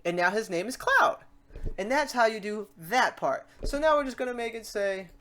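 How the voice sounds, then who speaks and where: A woman hosting a casual software tutorial, talking through each step aloud in an informal, playful way. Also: a little high, expressive, moderate pace, noisy background. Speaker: tutorial host.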